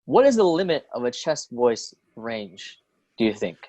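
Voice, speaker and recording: A man's voice: mid-range voice, very animated, unhurried, warm tone, dry recording. A man acting as interviewer, speaking in a conversational way.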